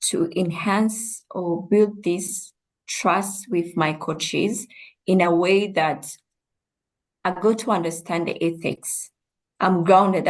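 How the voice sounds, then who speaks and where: A woman from Rwanda speaks as a guest coach in an interview, her voice sitting fairly low. She explains things in a measured, reflective way and takes her time to lay out each point. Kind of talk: interview answers.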